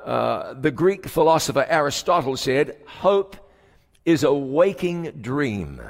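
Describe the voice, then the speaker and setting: Preacher with a high, echoing voice. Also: male voice, expressive, creaky. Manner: emphatic preaching with drawn-out key words and sudden hushed drops. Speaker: pastor. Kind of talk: sermon. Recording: long hall reverb.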